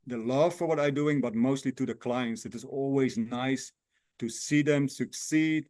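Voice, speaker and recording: A lifestyle coach in his sixties answers questions earnestly over a video call. He talks at a mid pitch in a dry sound, pausing and restarting as he works his way through his phrasing. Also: darkish tone, dry recording. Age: 60s. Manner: earnest, halting non-native phrasing with many restarts. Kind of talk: casual interview.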